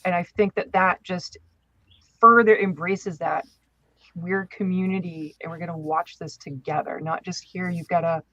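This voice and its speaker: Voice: mid-range voice, fairly even intonation, moderate pace, pushed and bright, no room sound. An effusive, enthusiastic podcast co-host chatting casually. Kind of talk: casual chat. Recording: dull, lo-fi audio.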